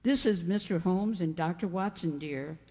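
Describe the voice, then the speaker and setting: A woman's voice: lowish voice, moderate pace, a little mellow, slightly rough. A woman playing a brusque, gossipy and disapproving village landlady in an amateur radio drama. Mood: matter-of-fact. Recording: long hall-like reverb.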